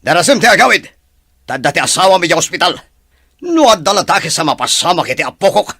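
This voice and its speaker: An actor playing a stern, quick-tempered wealthy father in an audio drama, blunt and scolding. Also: high voice, big pitch swings, pushed and bright, husky, no room sound, clean studio sound, exaggerated voice. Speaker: father of the young husband. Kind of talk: Ilocano audio drama acting.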